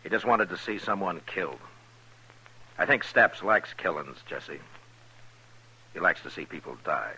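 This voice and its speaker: An actor playing a stern, steady American marshal of the Old West in a 1950s radio western, terse and authoritative. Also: male voice, low-pitched, unhurried, pauses often, slightly rough, heavily acted. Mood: calm, persuasive. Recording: noisy old radio drama recording.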